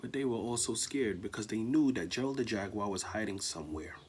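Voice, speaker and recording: A man, the author, reading his rhyming children's story aloud in an animated storytelling manner. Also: fairly low pitch, fairly quick, a little hiss or hum. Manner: animated, sing-song storytelling.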